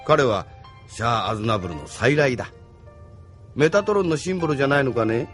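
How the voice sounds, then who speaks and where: A man's voice: mid-range voice, animated, a little fast, lightly acted. A shrewd, argumentative middle-aged political operator, voiced by an actor in an anime audio drama. His assured voice rises and falls widely, with faint music underneath. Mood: assured, critical.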